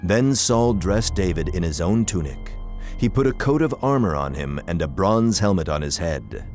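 A man's voice: low-pitched, very animated, moderate pace, darkish tone, faint music behind. A man narrating a dramatized Bible audiobook in a scripted storytelling style.

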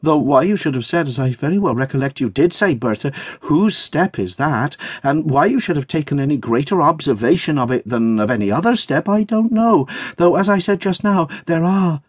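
Man voicing breathless, fluttering young wife, high-pitched. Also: unhurried, darkish tone, no echo. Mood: fluttered, breathless. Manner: breathless, gushing, exclamatory. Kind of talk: dramatic storybook character reading.

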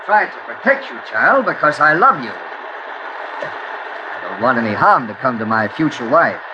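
An actor playing a possessive, menacing aristocratic brother in theatrical 1940s radio style. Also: male voice, mid-range voice, very animated, unhurried, dull audio. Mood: wounded, pressing. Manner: theatrical, insistent, demanding. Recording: noisy old radio drama recording.

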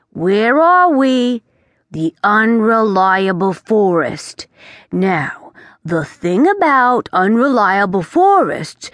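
A woman voicing a streetwise male talking bat with a brisk, slangy way of talking. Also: mid-range voice, dry recording, studio quality, pitched above the performer's own voice.

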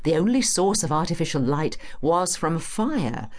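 A woman's voice: low-pitched, normal speed. A woman playing a knowledgeable, encouraging tour guide in a scripted educational audio drama, with a British accent and a teacherly manner. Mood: informative, encouraging. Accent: British English. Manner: expressive, clear explanatory delivery, teacherly. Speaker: guide and narrator.

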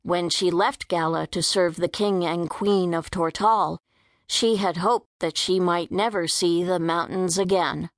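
A woman reading a scripted story as an audiobook narrator, in a measured, careful manner. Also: on the low side, steady tone, no echo, clean studio sound.

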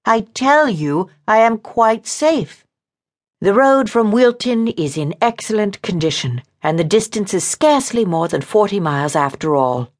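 Casting: spirited young period heroine, performed by a woman narrator, raised pitch, indignant, emphatic, defiant, audiobook romance. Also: big pitch swings, a little slow. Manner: emphatic, snappy, defiant, archaic polite wording. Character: headstrong, indignant young Regency lady with a quick temper.

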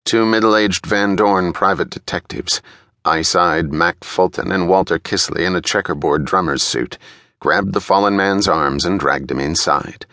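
A man narrates a thriller audiobook with drama. His voice sits very low yet has a tense, sharp edge, and the recording is very dry. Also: unhurried, no room sound.

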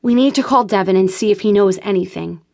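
A woman reading an audiobook gives voice to a frantic, fearful young woman, pitching her speech up and pleading urgently. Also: fast, gravelly, mellow, close to an everyday voice. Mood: insistent.

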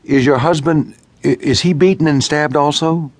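A man's voice: fairly high pitch, normal speed, no echo, voice pushed up, close to an everyday voice. A man voicing a young female police dispatcher, pressing questions urgently over the line in a true-crime audiobook.

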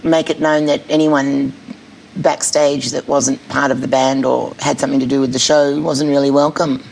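A middle-aged woman with a husky voice, speaking bluntly and candidly as an interview guest. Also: extremely low pitch, flat delivery, normal speed, darkish tone, slight background noise, rough recording. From Australia. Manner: blunt, candid, laughs easily. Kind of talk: casual chat.

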